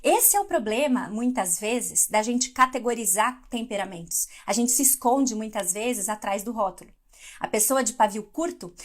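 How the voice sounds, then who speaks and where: A middle-aged woman from Brazil giving a conversational live devotional talk, reacting to viewer comments as she goes. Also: fairly high pitch. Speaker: devotional speaker.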